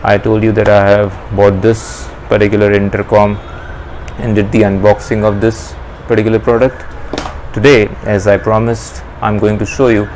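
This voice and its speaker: A man with an Indian accent and a fairly low voice hosts a casual product demo. He explains step by step in a halting way. Noticeable background noise sits behind him in the room. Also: a little mellow. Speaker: vlog host. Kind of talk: casual product demonstration to viewers. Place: small indoor room at home. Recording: clearly noisy room ambience.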